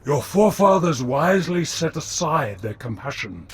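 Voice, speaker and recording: An actor playing a zealous old alien prophet in a video game, with a pompous, oratorical delivery. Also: male voice, a little high, big pitch swings, normal speed, breathy edge. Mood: cold contempt. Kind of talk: dramatic video game cutscene acting. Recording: loud ambient noise behind voice.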